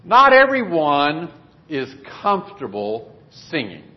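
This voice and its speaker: A man's voice: on the high side, wildly swooping pitch, takes it slowly. A man preaching a sermon in a conversational, plainspoken way in a church meeting room. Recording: dull lo-fi recording.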